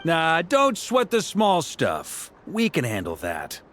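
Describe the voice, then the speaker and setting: Hugely animated, hearty easygoing man. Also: a little high, takes it slowly, over loud music, exaggerated voice. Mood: breezy, encouraging. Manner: breezy, jovial, expressive. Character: easygoing, hearty, upbeat male leader. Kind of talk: video game character acting.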